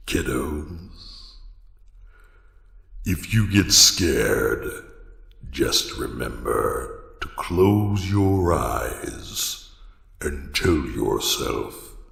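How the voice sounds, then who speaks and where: An actor playing a sinister horror show host with a very deep, gravelly voice, introducing a retro radio tale. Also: male voice, expressive, drawn-out words, pauses often, fairly bright tone, full character voice. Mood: ominous. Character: sinister, menacing horror anthology host telling bedtime stories. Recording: long cavernous reverb.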